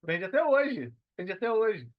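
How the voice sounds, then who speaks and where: A man's voice: sits high, very animated, unhurried, muffled recording. A Brazilian man talking casually as an interview guest, using slang and repeating phrases for emphasis. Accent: Brazilian Portuguese. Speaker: podcast guest, digital marketer.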